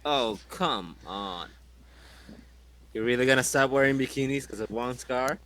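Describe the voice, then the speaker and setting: A man's voice: mid-range voice, a little slow, a bit bright. A man chatting and joking casually as a player in a tabletop role-playing game.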